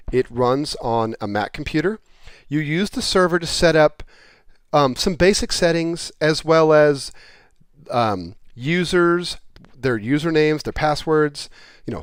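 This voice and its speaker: A man's voice: medium pitch, clear, resonant tone, no room sound, studio quality. A man presenting a product in a casual explainer style, talking plainly and repeating points in everyday terms.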